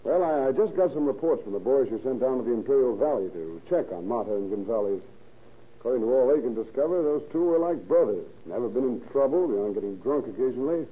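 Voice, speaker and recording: Husky, level-headed police detective. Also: male voice, medium pitch, expressive, quick pace. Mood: reasoning. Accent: American. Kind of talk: old-time radio acting. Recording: tinny old radio recording.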